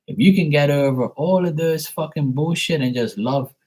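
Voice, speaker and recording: A man's voice: on the high side, moderate pace, a little mellow, rough recording. A man from Bermuda, hosting a live stream, talking bluntly and profanely in a rambling casual rant. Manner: blunt, profane, rambling, acts out quoted voices.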